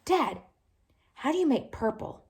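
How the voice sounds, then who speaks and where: A woman's voice: sits high, sing-song, measured pace, vocal fry, a little mellow, pitched above the performer's own voice, full character voice. A woman voicing an eager, wide-eyed young boy in a children's storybook, full of curious exclamations. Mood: eager wonder. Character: eager, excitable young boy Easter-egg artist.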